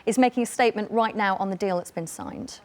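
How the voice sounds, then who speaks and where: A woman with a British accent hosting a radio phone-in, brisk and inviting. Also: medium pitch, a little mellow, a little hiss or hum. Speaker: radio host.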